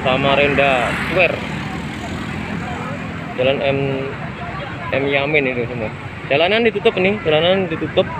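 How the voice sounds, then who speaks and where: A man's voice: a little high, measured pace, pauses often. A man filming a night fire on his phone. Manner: urgent, repetitive, addresses viewers as friends. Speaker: citizen reporter vlogger. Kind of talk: on-the-spot phone video report. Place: outdoors at night by a mall fire, busy street. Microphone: phone camera held by the filmer. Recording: phone recording with loud street noise.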